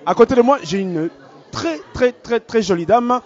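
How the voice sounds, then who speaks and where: A man's voice: very high-pitched, animated, normal speed, some chatter behind. A man interviewing guests at a beauty pageant gala for a web channel, upbeat and formal. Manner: upbeat, formal, repeats announcements. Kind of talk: live red-carpet interviews at a gala. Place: crowded gala event hall. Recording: muffled lo-fi event audio.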